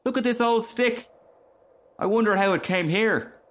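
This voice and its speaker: A man's voice: high voice, moderate pace, fairly bright tone, pitched above the performer's own voice. A man voicing a curious little country boy in a storybook, with a simple, boyish manner. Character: two eager little country boys. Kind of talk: storybook character reading.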